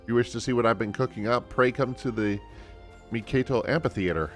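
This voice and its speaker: A man voicing an eager, sweet young elf woman in a fantasy game, excitable and earnest. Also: mid-range voice, fairly quick, natural voice. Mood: secretive, pleased. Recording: game music behind the voice.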